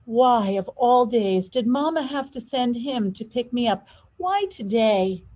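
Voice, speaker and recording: Higher-pitched woman reading a storybook expressively. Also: expressive, unhurried, dark tone. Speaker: school leader reading aloud. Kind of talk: children's storybook read-aloud.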